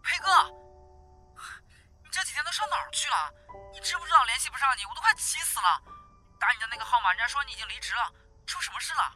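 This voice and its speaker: A woman's voice: high-pitched, expressive, fast talker, pauses often, sharp tone, soft background music, heavily acted. A woman acting a young, caring, easily indignant friend in an audio drama, chatty and emphatic. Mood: anxious.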